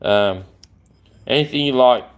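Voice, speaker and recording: A man's voice: mid-range voice, a little slow, a little hiss or hum. A man, chatting in a rambling, affectionate way on a live stream. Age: 40s.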